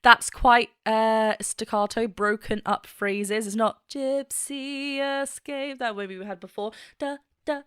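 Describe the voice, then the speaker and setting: Female vocal coach, bright and enthusiastic, higher voice, dry close recording. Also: steady tone, slow pace, hardly any pauses, smooth, dry recording. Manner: enthusiastic, laughs often, sings snippets to demonstrate. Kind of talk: reaction and analysis.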